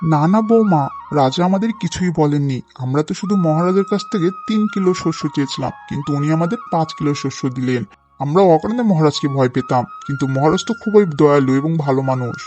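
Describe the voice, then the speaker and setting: A man's voice: fairly high pitch, expressive, fairly quick, darkish tone, soft background music, lightly acted. A man playing a humble, cautious poor father-in-law in a cartoon folk tale, mild and deferential. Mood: relieved.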